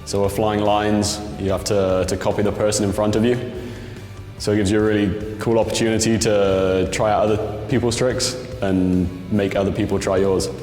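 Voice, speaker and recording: Young competitor, low steady voice, explaining rules in a reverberant hall. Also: male voice, moderate pace. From England. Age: 20s. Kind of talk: interview answer. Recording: echoey tunnel hall with music.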